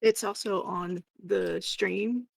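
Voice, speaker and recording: A woman's voice: medium pitch, steady tone, takes it slowly, creaky, dry recording. A woman chatting casually as co-host of a livestream.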